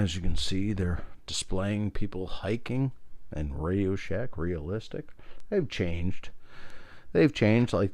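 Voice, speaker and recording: A man's voice: low voice, darkish tone. A middle-aged man giving a casual, meandering show-and-tell as an enthusiastic hobbyist host. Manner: meandering, enthusiastic about old gear. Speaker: video host, radio hobbyist.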